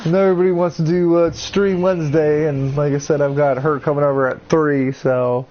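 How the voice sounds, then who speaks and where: A man streaming a game in casual chat. He sounds congested from a cold, gets excitable, laughs often and swears. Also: a little high, a little fast, slight background noise. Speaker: gameplay streamer. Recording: dull lo-fi audio with faint music.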